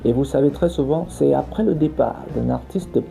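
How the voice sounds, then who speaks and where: A male podcast host with a deeply mellow, dark voice that crackles with fry. He presents in a semi-scripted radio style, somewhat halting, pausing to search for his words. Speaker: podcast host, culture journalist.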